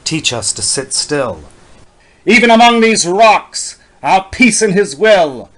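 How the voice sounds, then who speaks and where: A man reads a long poem aloud in a dramatic style, fairly high in pitch for a man. His delivery is slow, solemn and incantatory, leaning on the repeated phrases. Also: very animated, fairly bright tone. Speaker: poetry reader. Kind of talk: dramatic poetry reading.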